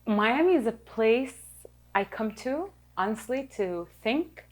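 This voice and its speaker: A woman's voice: fairly high pitch, expressive, slow pace. A woman nutritionist from Lebanon, raised in Kuwait, talking chattily as a podcast guest. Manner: chatty, many fillers, reflective. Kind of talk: casual interview chat.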